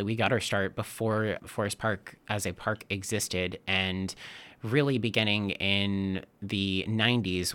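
A man's voice: on the low side, pushed and bright, clear, resonant tone. A man from Texas who works for a trail nonprofit, giving earnest, thoughtful interview answers with frequent restarts. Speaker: nonprofit trail stewardship staffer.

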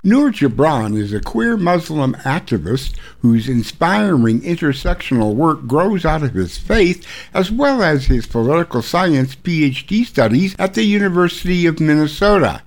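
A man's voice: on the high side, big pitch swings, normal speed, hardly any pauses. A man hosting a radio programme with scripted narration. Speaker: radio host.

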